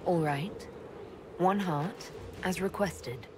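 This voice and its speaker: Lowish, direct adventuring heroine. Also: female voice, slow, background noise. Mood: resigned. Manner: direct, plain-spoken. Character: steady, businesslike female adventuring leader, frank and questioning. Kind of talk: video game dialogue acting.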